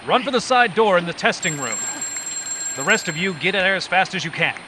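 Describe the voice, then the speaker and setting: A man's voice: high voice, animated, brisk. A man playing a brave, commanding hero in a 1940s-style radio adventure serial. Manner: decisive, commanding. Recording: noisy live stage performance.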